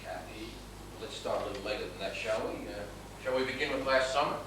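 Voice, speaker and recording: Calm, probing physician played by a male actor; fast-spoken, controlled stage delivery, miked from far away in a reverberant theatre. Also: on the low side, lightly acted. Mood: patient, probing. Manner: controlled, authoritative. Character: calm, firmly probing doctor questioning his patient. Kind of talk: amateur stage play acting. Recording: echoey theatre stage, distant mic, noisy.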